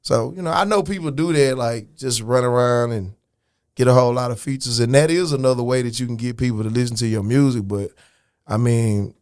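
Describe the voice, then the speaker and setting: A man's voice: mid-range voice, a little fast, darkish tone, clear, resonant tone, no room sound. Laid-back frank man in a dry studio. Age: adult, older than his early years. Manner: laid-back, frank, profane, restarts and "you know what I'm saying". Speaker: rapper guest. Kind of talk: casual podcast interview.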